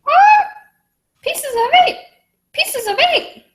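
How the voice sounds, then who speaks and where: A woman voicing an old pet parrot of a pirate in a radio play, with a shrill squawk. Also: sing-song, takes it slowly, heavily acted. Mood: squawking alarm.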